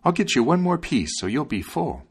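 A man's voice: medium pitch, big pitch swings, moderate pace, slightly rough, pitched above the performer's own voice. A man voicing a small, bold, loyal and vengeful sparrow in a storybook tale, speaking emphatically. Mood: helpful, eager. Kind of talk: storybook character voice.

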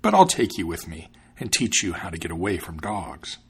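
A man voicing an arrogant, scornful male fox in a storybook reading, with a husky voice. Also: deep voice, sing-song, normal speed, voice pushed down, full character voice. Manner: sneering, haughty.

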